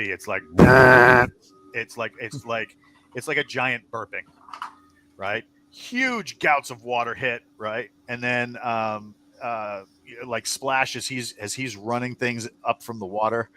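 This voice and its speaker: A man narrating a tabletop role-playing game as game master, improvising scenes in a halting, descriptive way. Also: mid-range voice, bright, forceful tone, slight background noise.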